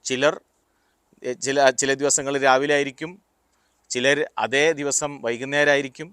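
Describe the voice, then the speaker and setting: A man giving a motivational talk, explaining deliberately in short, paused phrases. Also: medium pitch, little pitch movement, a bit bright, smooth, dry recording, studio quality. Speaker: motivational speaker. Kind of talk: motivational talk with personal anecdotes.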